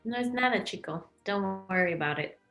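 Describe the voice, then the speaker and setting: A woman's voice: medium pitch, expressive, fairly quick, creaky, fairly bright tone, faint music behind. A woman reading the part of a bold, caring young girl who speaks directly and kindly, in a play table read. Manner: direct and friendly.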